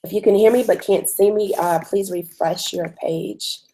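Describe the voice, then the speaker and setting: Hoarse woman hosting a webinar. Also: medium pitch, fairly even intonation, normal speed, dark tone, rough recording. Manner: frequent ums, conversational. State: hoarse from a cold. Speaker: webinar host. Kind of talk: live webinar presentation.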